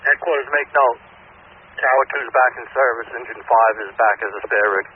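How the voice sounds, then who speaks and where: A man's voice: medium pitch, normal speed, husky. A man working as a firefighter, making terse check-ins over a two-way radio. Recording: distant, noisy narrowband radio transmission.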